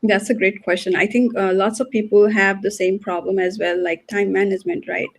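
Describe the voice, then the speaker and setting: A woman's voice: mid-range voice, fairly even intonation, a little fast. A woman in her late thirties from Nepal, a guest on a live video call, talking earnestly and repeating words as she thinks. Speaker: guest, nurse and business owner. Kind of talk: live online interview.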